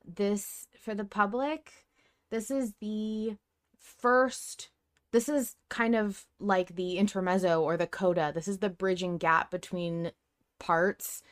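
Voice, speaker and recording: A woman hosting a fan podcast in casual chat, effusive and excitable, quick to play down her own part. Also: medium pitch. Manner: excitable, effusive, self-deprecating. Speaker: podcast host.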